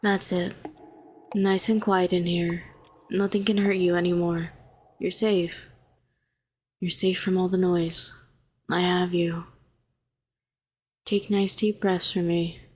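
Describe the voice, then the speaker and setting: Woman acting a low-voiced, sardonic yet protective villainess. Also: steady tone, a little slow, lightly acted. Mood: soothing, tender. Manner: sharp and sardonic, turning soothing and coaxing. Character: telepathic female villain, former hero, sardonic yet tenderly protective. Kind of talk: intimate scripted audio roleplay.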